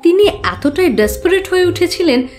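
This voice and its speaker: A woman's voice: extremely high pitch, lively intonation, moderate pace, darkish tone, faint music behind. An actress playing an earnest, trusting young woman client who carefully recounts her troubles in an audio drama. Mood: earnest, troubled.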